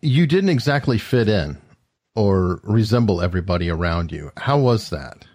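A man's voice: lowish voice, expressive, moderate pace, darkish tone, no room sound, studio quality. A man from Arizona hosting an interview, asking questions hesitantly with restarts and light laughs. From Tucson, Arizona, USA. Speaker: podcast host.

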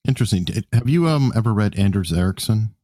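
Deep, mellow male interviewer, dry sound. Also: lively intonation, fast, no room sound. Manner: explanatory. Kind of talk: conversational interview.

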